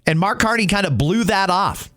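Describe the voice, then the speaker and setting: A man's voice: high-pitched, animated, normal speed, fairly bright tone. A man hosting a talk show, giving indignant political commentary full of rhetorical questions.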